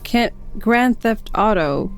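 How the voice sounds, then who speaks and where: A woman with a Swedish accent co-hosting a podcast, chatting casually and reacting with quick, disbelieving questions full of "like". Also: a little high, expressive, soft background music. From Sweden. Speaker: podcast co-host. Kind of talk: casual chat.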